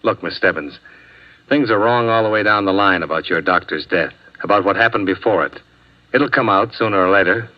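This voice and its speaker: Low-voiced hard-boiled radio detective played by a man. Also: fairly quick, natural voice. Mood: probing, insistent. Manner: terse, pressing, accusatory. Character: hard-boiled male insurance investigator, blunt and wry. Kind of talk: 1950s radio drama acting. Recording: dull old radio recording.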